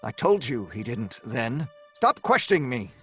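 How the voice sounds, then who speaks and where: A man's voice: medium pitch, sing-song, measured pace, a bit bright, husky, faint music behind, full character voice. An actor playing a haunted, frantic scientist who tells his own tale in old-time radio horror style. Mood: evasive, weary. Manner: melodramatic, stammering when speaking in dialogue. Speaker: narrator and lead actor.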